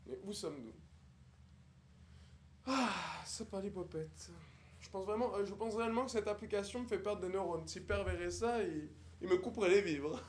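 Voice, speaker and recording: A young man doing a haughty, condescending posh snob with a high voice and stiff, affected formal diction. His speech is pompous and mocking. A soft hiss of background noise sits under it. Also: lively intonation, moderate pace. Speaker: livestreamer on a video chat app. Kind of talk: improvised character roleplay with strangers.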